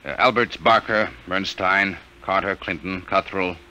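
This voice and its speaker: An actor playing a dutiful army officer in a 1950s radio drama. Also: male voice, low voice, fairly even intonation, unhurried, pushed and bright, highs cut off, a little hiss or hum, heavily acted. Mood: dutiful, flat.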